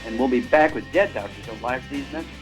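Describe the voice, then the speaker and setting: A man in his seventies hosting a radio show, rambling through a supplement pitch and correcting himself as he goes. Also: fast talker, over music. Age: 70s. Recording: muffled radio broadcast.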